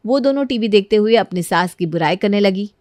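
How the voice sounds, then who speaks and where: A woman's voice: mid-range voice, expressive, fairly quick, darkish tone, no room sound. Female storyteller, dry studio sound. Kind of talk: scripted story narration.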